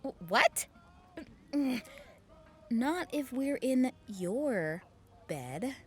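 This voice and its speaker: A woman's voice: high voice, sing-song, drawn-out words, heavily acted. A woman playing a confident, flirty army officer in an audio drama, teasing and reassuring. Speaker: lieutenant colonel.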